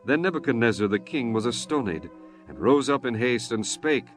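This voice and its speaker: A man narrating scripture from a script in a measured, solemn, formal manner. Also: medium pitch, expressive. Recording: music bed behind the voice.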